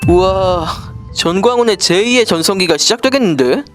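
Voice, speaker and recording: A very animated would-be politician acted by a woman chatters in a fairy-tale satire, naive yet scheming. The talk is casual, exclamatory and wheedling, swinging widely in pitch over faint music. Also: moderate pace, close to an everyday voice. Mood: startled. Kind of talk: scripted political satire dialogue, storybook parody.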